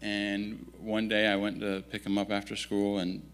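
A man in his thirties who grew up in Italy, giving a relaxed, self-deprecating missionary update in a church at a stand mic. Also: on the low side, moderate pace, slight background noise. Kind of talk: casual storytelling talk to a congregation. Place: church sanctuary, indoors. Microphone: stand microphone.

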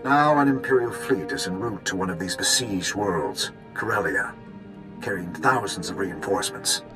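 A man's voice: sits low, moderate pace, over music, close to an everyday voice, low-quality recording. An actor playing a grave, commanding older statesman in a video game, formal and authoritative. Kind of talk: video game cutscene acting.

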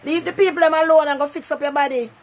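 An older Jamaican woman talks straight into her phone from a living room, hosting a loose live-stream talk show. Her voice sits a little high and she gets animated, repeating phrases and calling out viewers by name. There is a faint hiss behind her. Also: fast talker. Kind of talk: casual live-stream chat. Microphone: phone camera close up.

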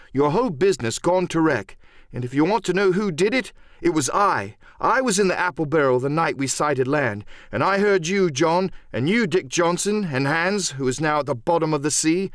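A man's voice: mid-range voice, no echo, clean studio sound, voice pushed up. A man voicing a proud, defiant boy among pirates in a storybook, speaking in an earnest, emphatic, declamatory way.

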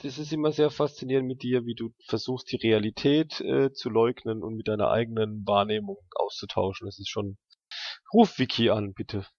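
A man's voice: fairly low pitch, fairly even intonation, moderate pace, a little mellow, dry recording, muffled recording. A man playing a tabletop roleplaying game, chatting in character with teasing, grumbling banter. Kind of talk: casual in-character game chat.

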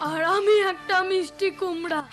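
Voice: very high-pitched, steady tone, normal speed. A performer voicing a playful, cheeky little village child in a cartoon, with a rural Bangladeshi accent. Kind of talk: cartoon character voice. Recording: music and jingles behind the voice.

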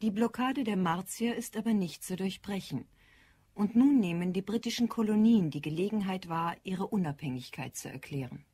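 A woman narrating a radio play like a factual news report, in crisp declarative sentences. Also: medium pitch, expressive, normal speed, warm tone. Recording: studio voice over clear music bed.

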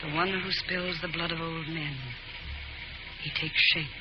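A woman's voice: deep voice, level tone, unhurried. A woman playing a haughty, theatrical fortune teller, solemn and incantatory, in 1940s radio acting. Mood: eerie incantation. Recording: muffled radio broadcast, loud music, sizzling hiss.

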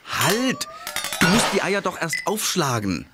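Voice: mid-range voice, unhurried, heavy background noise. An actor playing a friendly, inquisitive storyteller who narrates a children's cartoon and chats with its little hero. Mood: alarmed, correcting. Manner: expressive, gently inquisitive.

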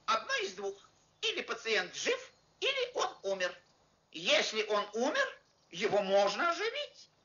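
An actor voicing an eccentric, boastful praying mantis folk healer with a sing-song, riddling way of talking in an animated film. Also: medium pitch, unhurried, heavily acted. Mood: sly, oracular. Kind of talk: theatrical animated film voice acting. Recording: dull noisy old film soundtrack.

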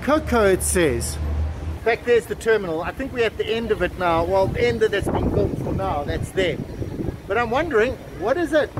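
A man's voice: high-pitched, expressive, moderate pace. A man in his fifties giving an enthusiastic walking tour of a shopping terminal, exclaiming and chuckling as he goes. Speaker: travel vlogger. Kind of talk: casual walking tour chat. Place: outdoor shopping terminal, windy. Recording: windy outdoor street noise and crowd.

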